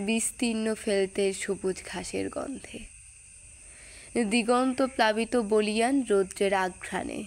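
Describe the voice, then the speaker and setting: A woman performing a dramatic poetry recitation, delivering it phrase by phrase in a measured, expressive manner. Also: medium pitch.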